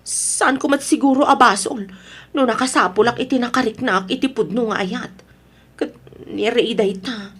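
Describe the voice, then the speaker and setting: Actress as high, husky Ilocano wife in love. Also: female voice, normal speed, fairly bright tone. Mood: defensive. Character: married woman in love with another man, stubborn, pleading. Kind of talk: Ilocano radio drama acting.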